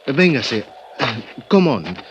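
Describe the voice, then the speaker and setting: An actor playing an earnest Mexican investigator who hunts haltingly for English words with a Spanish accent, in a radio drama. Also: male voice, medium pitch, very animated. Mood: earnest, explaining. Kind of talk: old-time radio drama acting. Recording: dull, faintly noisy vintage radio recording.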